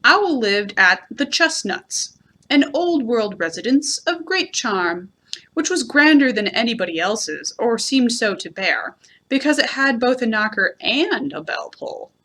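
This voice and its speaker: Female storyteller for children's book narration: animated, fairly high voice, deliberate phrasing. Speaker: library storyteller.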